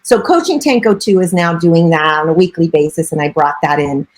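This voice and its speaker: A woman's voice: sits low, a little fast. A middle-aged woman, a real estate broker and coach, giving chatty, earnest interview answers with frequent filler phrases.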